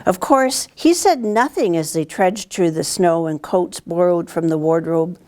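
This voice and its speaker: An older woman reads a picture book aloud as a guest storyteller, in an expressive, deliberate way. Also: lowish voice. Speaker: town councillor reading to students. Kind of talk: read-aloud storybook narration. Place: indoors, library corner with bookshelves.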